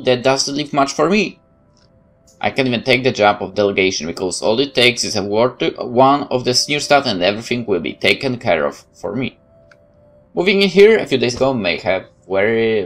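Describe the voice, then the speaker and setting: A man doing a let's play, reading a visual novel's narration aloud and adding wry casual commentary, with game music behind him. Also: mid-range voice. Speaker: gaming YouTuber.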